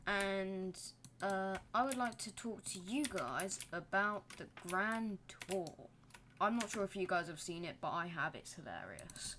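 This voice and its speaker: Slow, rambling young woman gamer. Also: mid-range voice, animated, a bit bright, a little hiss or hum. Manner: rambling, self-deprecating, joking asides. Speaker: gaming channel host. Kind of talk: casual gameplay commentary.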